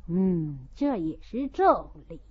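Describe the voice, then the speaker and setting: A deferential male steward voiced by a woman narrator in a storybook. He advises earnestly at a slow, measured pace. The recording is slightly dull. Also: lowish voice, big pitch swings, breathy edge, pitched below the performer's own voice, heavily acted. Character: Lin Zhixiao, cautious, deferential male household steward. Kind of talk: audiobook character reading of a classical novel. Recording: dull lo-fi audio.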